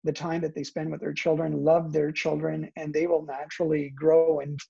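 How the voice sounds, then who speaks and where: Gravelly, husky man, native Italian speaker. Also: on the high side, completely flat delivery, normal speed, warm tone, dull audio. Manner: thoughtful, hedging, frequent "you know" and "right?". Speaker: guest, education professor. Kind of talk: conversational interview answers.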